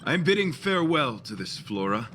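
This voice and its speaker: Noble game knight, bright forceful voice, sweeping intonation, archaic formality, faint music. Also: male voice, mid-range voice, sing-song, normal speed. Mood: solemn. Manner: pompous, formal, archaic wording. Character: courtly, earnest, flowery knight, theatrically noble. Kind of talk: video game voice acting.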